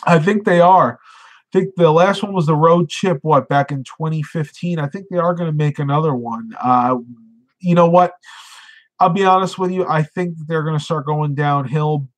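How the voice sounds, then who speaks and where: A man's voice: a little high, moderate pace. A man hosting a casual live stream, chatting and reading viewer comments with lots of fillers, sounding congested as he loses his voice.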